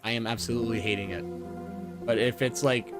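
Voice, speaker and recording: A man's voice: mid-range voice, steady tone, a little fast. Rambling young man over music. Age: 20s. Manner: rambling, many fillers and repeated words, self-deprecating. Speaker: gaming streamer. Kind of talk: casual chat, reading aloud and commenting. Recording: music playing underneath.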